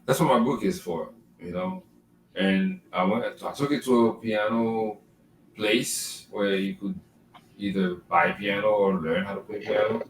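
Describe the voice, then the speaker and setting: A man with a very low, deep voice co-hosts a podcast. He chats in a loose, rambling way, swearing freely and drifting from one thought to the next. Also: unhurried, slight background noise, rough recording. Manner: laid-back, rambling, profane. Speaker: podcast co-host. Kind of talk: casual chat.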